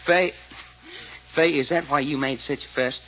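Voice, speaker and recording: Folksy tough-guy sidekick with a bright, slightly high voice; period radio serial. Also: fairly even intonation, a little slow, poor audio. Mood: suspicious. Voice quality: folksy drawl with rough grammar. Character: rough folksy adult male sidekick. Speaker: detective's partner. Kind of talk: 1940s radio acting.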